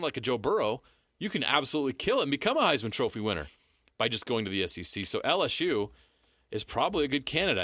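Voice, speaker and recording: A man's voice: medium pitch, bright, forceful tone, dry recording, clean studio sound. An American man co-hosting sports talk radio, giving casual analysis in an assured, plain-spoken, explanatory way.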